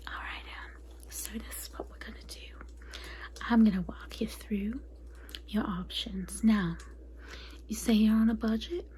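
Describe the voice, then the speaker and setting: A woman playing a chatty, catty female vampire consultant in a soft, breathy, confiding ASMR roleplay whisper. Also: fairly high pitch, lightly acted, low-quality recording. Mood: frank, businesslike. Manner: confiding, gossipy, apologetic asides.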